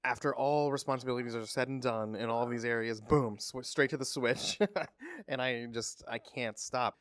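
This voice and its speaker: A man's voice: medium pitch, steady tone, normal speed, a bit bright, no room sound, studio quality. A man in his thirties co-hosting a casual pop culture podcast, enthusiastic and laughing often. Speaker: podcast co-host.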